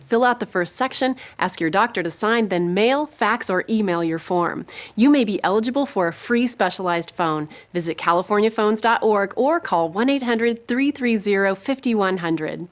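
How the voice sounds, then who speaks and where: Mid-pitched American woman announcer. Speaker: commercial announcer. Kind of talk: scripted public service ad narration.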